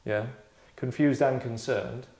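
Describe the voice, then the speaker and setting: A man hosting and directing a table read, chatting casually in a tongue-in-cheek acting critique, deadpan, rambling and self-satisfied. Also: mid-range voice, takes it slowly. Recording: roomy, echoey indoor sound.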